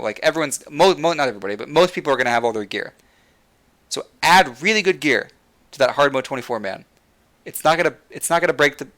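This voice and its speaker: A young man talks to his livestream chat, building his points with repeated words and restarts. His voice is bright and tense. He is audibly losing his voice as he goes. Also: medium pitch. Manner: passionate, repeats words while thinking. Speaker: livestream host. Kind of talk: casual gaming rant to chat.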